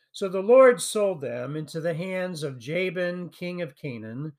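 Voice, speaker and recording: A man hosting a sermon podcast, delivering a prepared message in a measured way that trails off at the end of phrases. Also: sits high, animated, darkish tone. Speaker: podcast host and preacher.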